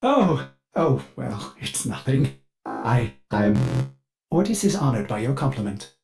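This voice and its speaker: A man voicing an anxious, servile male ship computer in a video game, formal and halting, with synthetic processing. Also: medium pitch, very animated, vocal fry, over music. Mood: flustered. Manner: formal and obsequious, with halting stammers. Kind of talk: scripted video game character acting.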